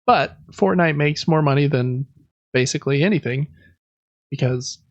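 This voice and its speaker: A man with a slightly high voice and a noticeable fry hosts a casual podcast. He talks informally and conversationally, with slangy asides. The recording is dry and close, if a little dull. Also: moderate pace, no room sound. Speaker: podcast host. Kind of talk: casual podcast commentary on industry news.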